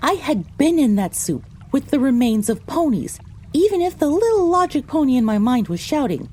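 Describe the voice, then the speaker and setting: A woman's voice: high voice, sing-song, normal speed, a little mellow, faint music behind. A woman narrating an audio drama in the first person as a frightened young female pony recounting her misadventure. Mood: dramatic recounting.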